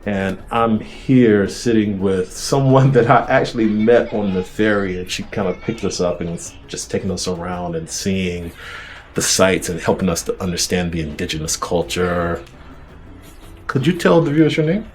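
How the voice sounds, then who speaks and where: Guy hosting a travel show, lowish voice, upbeat and encouraging, music playing behind. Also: moderate pace, reverberant. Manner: upbeat, encouraging, effusive praise. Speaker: travel vlog host. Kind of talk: casual travel interview.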